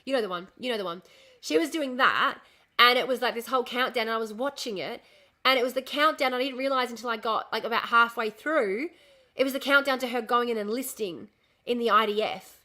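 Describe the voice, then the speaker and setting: A young woman chatting on a casual live stream, ranting and swearing freely while her voice is going hoarse. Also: fairly high pitch, a little fast, pushed and bright. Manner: ranting, lots of swearing and restarts. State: losing her voice, coughing. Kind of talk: casual live-stream chat reading comments.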